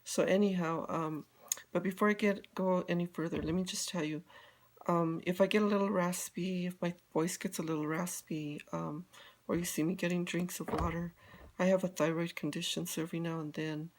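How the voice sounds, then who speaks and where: A woman's voice: lowish voice, steady tone, normal speed. An older woman from Colorado chatting casually on a live video, rambling with many fillers and restarts. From Colorado, USA. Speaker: beauty guide vlogger.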